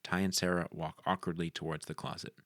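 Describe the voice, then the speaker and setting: Casting: male screenplay narrator, very low and creaky, recorded dry. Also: flat delivery, brisk, fairly bright tone, dry recording. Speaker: narrator reading stage directions. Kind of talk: scripted narration at a film table read.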